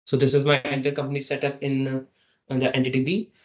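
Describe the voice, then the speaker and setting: A man giving a halting, step-by-step software tutorial as a trainer over an online meeting. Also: mid-range voice, completely flat delivery. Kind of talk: screen-share software tutorial. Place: online meeting with screen share. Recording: dull, lo-fi online meeting audio.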